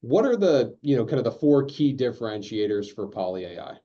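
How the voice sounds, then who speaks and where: A man with a dark, mellow voice hosts a business interview, with the sound slightly dull. He asks questions haltingly, with repeated fillers. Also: medium pitch, big pitch swings. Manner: hesitant questions with you know fillers. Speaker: interview host.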